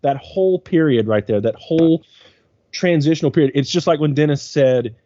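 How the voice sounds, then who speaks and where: A man in his forties with a mellow, warm tone speaks earnestly and emphatically as a podcast guest. The recording is dry and slightly dull, with a lo-fi edge. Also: fairly high pitch, normal speed, no room sound. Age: 40s. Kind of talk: casual chat.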